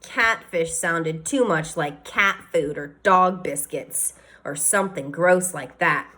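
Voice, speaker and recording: A woman teacher reading a children's novel aloud, expressive, sometimes speaking to her listeners. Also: on the low side, slow.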